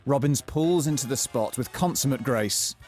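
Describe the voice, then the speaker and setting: A man narrating a mock-thriller audio drama from a script, telling it in a florid, deadpan way. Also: mid-range voice, normal speed, soft background music, close to an everyday voice.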